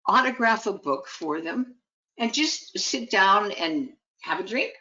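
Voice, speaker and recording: A woman's voice: medium pitch, animated, measured pace, bright, forceful tone, lo-fi sound. A woman teacher being interviewed, speaking proudly and heartfelt about her students. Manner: proud, heartfelt, with some restarts. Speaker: guest, gemology teacher. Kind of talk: conversational interview.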